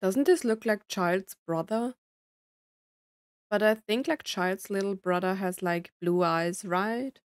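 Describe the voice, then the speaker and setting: A woman's voice: mid-range voice, slow pace, no room sound. A young woman giving casual, enthusiastic reaction commentary over game trailers. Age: young adult. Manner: enthusiastic, filler interjections like mm-hmm. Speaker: reaction video host. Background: game trailer music and audio.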